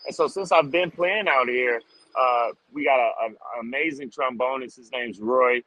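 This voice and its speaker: A man's voice: medium pitch, normal speed, bright, forceful tone, dull audio, slight background noise. A man answering interview questions casually as a street musician, upbeat and informal, often dropping in "like" and "man". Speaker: street drummer guest.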